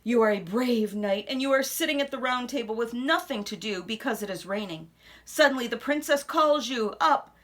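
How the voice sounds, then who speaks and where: A woman reading a children's picture book aloud expressively, with dramatic pauses and hushed asides. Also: fairly high pitch.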